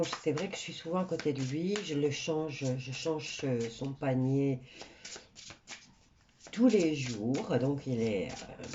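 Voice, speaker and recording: A woman's voice: very deep voice, mellow, highs cut off, a little hiss or hum. A woman with a stuffy cold doing a casual tarot reading for viewers, chatty and rambling with many hesitations. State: stuffy nose from a cold, coughing. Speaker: tarot card reader.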